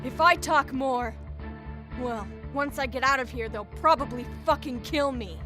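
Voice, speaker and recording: A woman's voice: high-pitched, measured pace, over music. A woman playing a crude, sarcastic captive who swears constantly in a fan audio drama. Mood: scornful. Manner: foul-mouthed, sarcastic.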